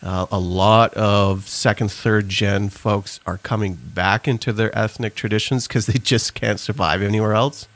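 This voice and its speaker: A man's voice: lowish voice, steady tone, a little slow, few pauses, a bit bright, no echo. A man from Trinidad, an author and pastor, speaking earnestly as an interview guest and explaining his ideas at length. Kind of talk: interview conversation.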